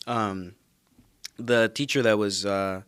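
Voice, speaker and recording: A man whose first language is Spanish talks in a low voice as a guest in a relaxed conversation. He tells his story in an easygoing way, and laughter breaks into his sentences often. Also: extremely slow, smooth. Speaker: guest, theology student. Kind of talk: casual conversation, personal story.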